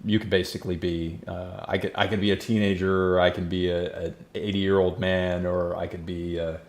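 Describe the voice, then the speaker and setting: A man's voice: sits low, little pitch movement, moderate pace. A man hosting a podcast, chatting casually with many restarts. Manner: chatty, restarts often, laughs easily. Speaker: podcast host. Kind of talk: casual conversation over a call.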